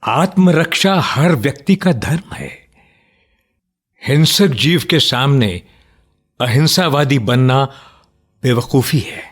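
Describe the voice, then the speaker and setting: An actor in a radio play playing an earnest, outspoken nationalist man who debates firmly but respectfully, in deliberate, declamatory phrases. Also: medium pitch, expressive. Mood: firm, challenging.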